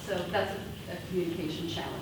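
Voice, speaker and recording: A woman speaks up from a conference audience, pausing as she finds her words. She is far from the mic in a very echoey room. Noise hums underneath. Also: fairly low pitch, level tone. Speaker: audience member. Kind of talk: answering a question from the floor.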